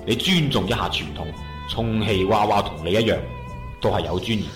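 An actor playing a stern, old-fashioned gang boss in an internet radio drama, measured and authoritative, with a Hong Kong Cantonese accent. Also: male voice, fairly low pitch, close to an everyday voice. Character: stern, old-fashioned triad big brother, protective of sister. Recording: echoey, music behind.

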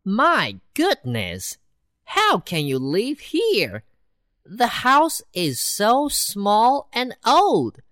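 A woman's voice: huge pitch swings, a bit bright, dry recording, clean studio sound, full character voice. Boastful city mouse, as a woman reads it. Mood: scornful. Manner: slow, bouncy storybook voice with big pitch swings. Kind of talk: children's storybook reading.

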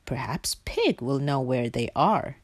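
A woman's voice: rumbling low, sing-song, very slow, no echo, pitched below the performer's own voice, heavily acted. A woman voicing a worried male hare in a children's storybook, anxious and puzzled. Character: worried male hare searching for his friends.

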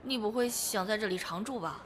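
A woman's voice: medium pitch, brisk, a little hiss or hum, close to an everyday voice. A woman acting a confident, playful, reassuring woman in her thirties in a Chinese audio drama. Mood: exuberant. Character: lively, confident woman of thirty-three devoted to her girlfriend.

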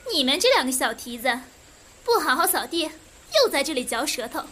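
Bossy maid scolding, woman acting, high sharp voice, costume radio drama. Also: sing-song, moderate pace. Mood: scolding, threatening. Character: sharp-tongued scolding senior maid of noble household.

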